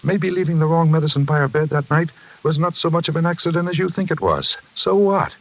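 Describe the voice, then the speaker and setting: A man's voice: on the high side, lively intonation, brisk. An actor playing a folksy middle-aged American railroad man who justifies himself talkatively, in old-time radio drama style. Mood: defiant, confessing. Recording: noisy, hissy vintage radio broadcast.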